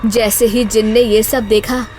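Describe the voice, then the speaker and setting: Female narrator for an animated horror tale, dramatic, a bit high, music under. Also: unhurried. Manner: dramatic, suspenseful. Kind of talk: scripted horror storytelling. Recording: narration over loud background music.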